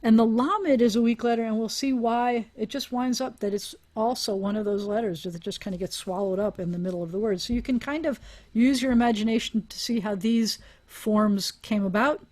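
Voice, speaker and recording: A woman's voice: fairly high pitch, fairly even intonation, brisk, darkish tone. A woman teaching a language class over a video call, explaining aloud with restarts and small laughs. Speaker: Hebrew teacher.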